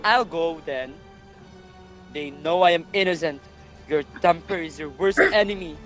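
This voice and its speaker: A man's voice: a little high, unhurried, music in the background, close to an everyday voice. A man playing a reasonable but indignant nobleman in a readers' theatre tragedy, earnest and formal. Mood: wounded. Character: reasonable, indignant royal brother-in-law, wrongly accused nobleman.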